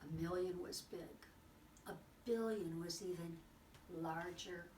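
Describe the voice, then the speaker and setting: Slow-paced woman reading aloud, roomy distant sound. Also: on the low side. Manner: expressive, exclaiming, with dramatic pauses and asides. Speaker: teacher reading a picture book aloud. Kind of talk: read-aloud storytelling for children. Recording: roomy, distant mic with faint noise.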